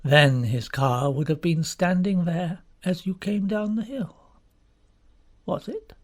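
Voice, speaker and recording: A man reading the part of a grave, sinister middle-aged male country doctor in an audiobook, asking slow, deliberate, probing questions in a breathy voice. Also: high voice.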